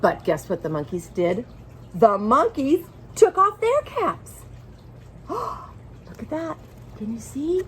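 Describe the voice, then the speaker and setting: Very animated, unhurried woman storyteller. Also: sits high, a little hiss or hum. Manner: expressive, pauses to ask listeners questions. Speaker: children's storybook reader. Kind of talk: animated picture-book read-aloud for young children.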